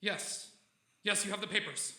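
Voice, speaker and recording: An actor playing an unravelling, paranoid scientist in an old-time radio drama, speaking haltingly and repeating himself. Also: male voice, high-pitched. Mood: uneasy, persuasive. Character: unravelling paranoid scientist doctor under a brain's control. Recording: echoey stage, loud piano music behind.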